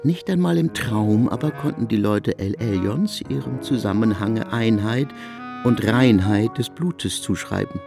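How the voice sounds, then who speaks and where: A man playing an erudite narrator in a literary radio drama, speaking eloquently with deliberate phrasing. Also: on the low side, creaky, over music. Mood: erudite, expressive. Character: erudite male narrator of a biblical epic.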